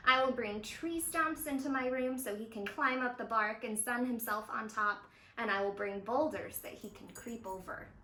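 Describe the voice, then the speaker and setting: A woman's voice: a little high, moderate pace, hardly any pauses, sharp tone. A woman voicing an eager, imaginative young boy from a picture book, earnestly and confidently explaining plans. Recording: roomy echo of a home interior.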